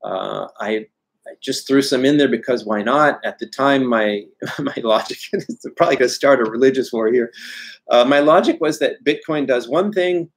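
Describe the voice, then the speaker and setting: A man's voice: mid-range voice, moderate pace, creaky, breathy edge. A man in his forties answering viewer questions live from his home study, candid and chatty, with many fillers and restarts. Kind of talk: live Q&A, casual talk to camera. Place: home study with bookshelves, indoors. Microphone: webcam video call framing.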